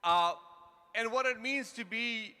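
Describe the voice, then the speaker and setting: A man's voice: very high voice, slow pace, pushed and bright, clear, resonant tone. A man in his fifties, a university administrator, giving short impromptu remarks on stage, hesitant and repeating his words. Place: large event hall on stage. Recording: reverberant live hall.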